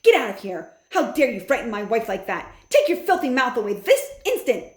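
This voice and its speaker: A woman voicing a blustering, rude middle-aged husband who shouts indignantly, in a storybook reading. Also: sits high, very animated, a little fast, echoey room, pitched above the performer's own voice. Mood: shouting angrily.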